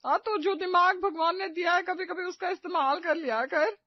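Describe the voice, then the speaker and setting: A woman's voice: very high voice, steady tone, normal speed, dry recording, dull audio. A woman playing a bossy, theatrical middle-aged mother-in-law in a cartoon story, nagging with sarcasm and melodrama. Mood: irritated, sarcastic. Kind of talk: animated moral-story cartoon acting.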